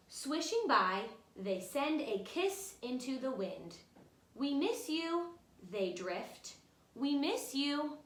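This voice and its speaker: A woman reads a children's picture book aloud in an echoey room. Her voice is fairly high and swings up and down in an animated way. She speaks as a teacher guiding young listeners along. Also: slight background noise. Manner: expressive, instructive, addresses young listeners directly. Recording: roomy, echoey room sound.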